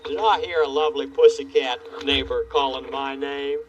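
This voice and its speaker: A man's voice: medium pitch, steady tone, normal speed, distant mic, muffled recording, slight background noise, lightly acted. A man playing a friendly, eager, curious puppet neighbor on a children's show, full of folksy exclamations. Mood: concerned. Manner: folksy exclamations, boyish enthusiasm.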